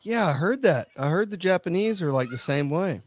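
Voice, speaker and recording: A man's voice: high-pitched, expressive, brisk. A man in his thirties co-hosting a podcast, talking in a loose, self-deprecating, slangy way. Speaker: podcast co-host. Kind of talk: casual video call chat.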